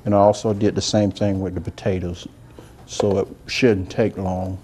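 Soft-spoken chef, low and mellow. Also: male voice, little pitch movement, normal speed, slight background noise. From Georgia, US. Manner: soft-spoken, rambling and homey, talks while working. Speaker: chef and cooking show host. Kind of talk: unscripted cooking demonstration. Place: indoor demo kitchen set.

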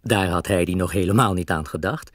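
Low, husky male storyteller. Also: a little fast, no echo, studio quality. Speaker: narrator. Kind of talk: scripted children's story narration.

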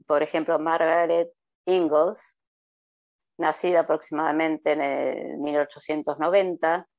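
A woman's voice: on the low side, a little mellow, no room sound, highs cut off. A middle-aged woman, explaining on a podcast over a video call, with frequent hesitant fillers. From Argentina. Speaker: guest presenter. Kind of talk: conversational podcast talk.

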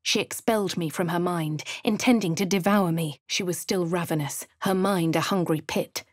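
Posh english lady adventurer, woman voicing her, lowish voice, dead dry booth sound. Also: normal speed, no echo, natural voice. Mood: brooding. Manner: cycles between quiet inner narration, hushed whispers and shouted spell incantations. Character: posh young female adventurer narrating her thoughts. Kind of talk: game voice lines.

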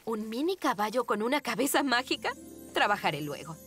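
Lively, higher-voiced cartoon mom. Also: female voice, fairly quick, soft background music. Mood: wry. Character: caring, fussy, work-minded cartoon mother. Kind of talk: animated children's cartoon dialogue.

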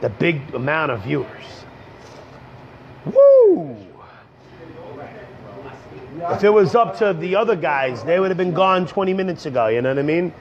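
A young man, hoarse from a cold, rambling casually to viewers on a livestream from a coffee shop. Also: fairly high pitch, wildly swooping pitch, measured pace, long pauses, crowd noise. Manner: rambling, slangy, talks straight to viewers. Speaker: comedian livestreaming. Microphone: earbud headset mic on a phone. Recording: dull lo-fi phone stream.

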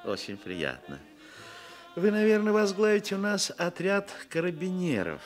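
An actor playing a genial, sly landowner of about sixty in a radio drama, chatty and hesitant with veiled menace. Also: male voice, high voice, huge pitch swings, normal speed, soft background music. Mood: affable, probing. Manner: chatty, hesitant, chuckling, insinuating. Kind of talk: Soviet radio drama acting. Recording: noisy vintage radio drama recording.

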